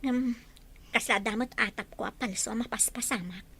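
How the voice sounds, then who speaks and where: A woman's voice: fairly high pitch, normal speed, fairly bright tone, slightly rough. A woman playing a suspicious yet devoted wife and mother in an audio drama. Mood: defensive, uneasy.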